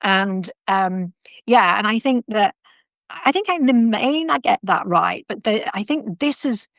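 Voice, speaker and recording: A middle-aged woman, hosting a podcast interview in a chatty, animated way, often restarting her sentences. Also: medium pitch, unhurried, vocal fry, dry recording, dull audio. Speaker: podcast host. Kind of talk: casual conversational interview.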